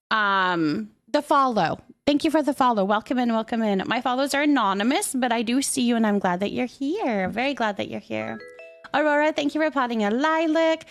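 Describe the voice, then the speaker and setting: A woman hosting a livestream, chatting casually and greeting viewers in a bubbly, affectionate, chatty way. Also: high voice. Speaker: live streamer hosting.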